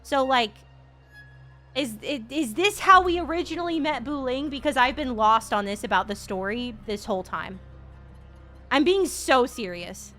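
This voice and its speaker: A woman streamer reacting to a game in rambling, animated, sweary casual chat. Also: high-pitched.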